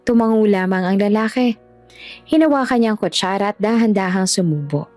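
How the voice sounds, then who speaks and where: A woman narrates a scripted audio story. Her voice sits at a middle pitch, and soft music plays behind her. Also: a little fast.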